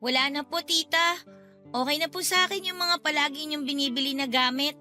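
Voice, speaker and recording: A little schoolgirl in a radio drama. She sounds sweet, polite and cheerful, with a very high, bright, childlike voice. Faint music plays behind. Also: fairly even intonation, brisk. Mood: sweet, earnest.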